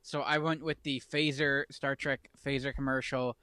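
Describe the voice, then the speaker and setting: A man's voice: medium pitch, level tone, normal speed, pushed and bright, a little hiss or hum. A man in easygoing casual chat, talking things through with lots of fillers. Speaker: podcast co-host.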